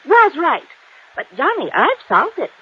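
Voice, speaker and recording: A woman's voice: high-pitched, huge pitch swings, a little slow, exaggerated voice. An actress playing a gushing, coy American widow in her sixties in a 1950s-style radio drama. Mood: confiding, intrigued. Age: older. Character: coquettish, excitable wealthy widow in her sixties. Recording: dull old radio recording.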